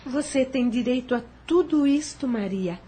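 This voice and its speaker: A woman's voice: sits high, animated, moderate pace, mellow, husky. A woman playing a humble, protective, anxious travelling companion in an audio drama, speaking respectfully. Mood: reassuring. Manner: respectful, pleading.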